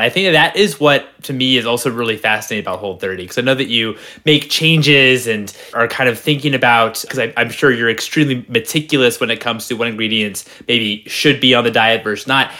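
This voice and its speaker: A man's voice: medium pitch, sharp tone. A man hosting an interview, asking long, hedging questions full of fillers. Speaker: podcast host.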